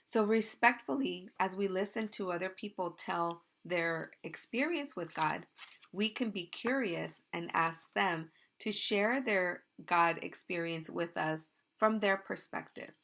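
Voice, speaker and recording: A woman's voice: fairly low pitch, measured pace, vocal fry, a bit bright. Woman telling a children's story in church. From Mexico. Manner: simple, explaining, asks "right?". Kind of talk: gentle children's story talk. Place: church sanctuary.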